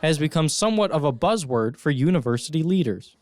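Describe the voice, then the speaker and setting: Higher-voiced young American man reporting news. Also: lively intonation, brisk, darkish tone. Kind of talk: scripted news narration.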